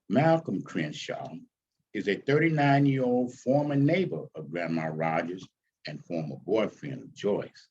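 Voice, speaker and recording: A man reading his own stage script aloud as its author, with deliberate, dramatic phrasing. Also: fairly low pitch, a little mellow, lo-fi sound, a little hiss or hum.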